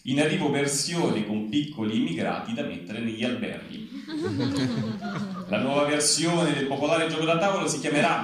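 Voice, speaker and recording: A man reading a satirical news bulletin live, with an emphatic newscaster delivery that drops into each punchline. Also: a little high. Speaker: satirical newsreader on stage. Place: large echoey venue at a live reading event. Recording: very echoey hall, distant, faint noise.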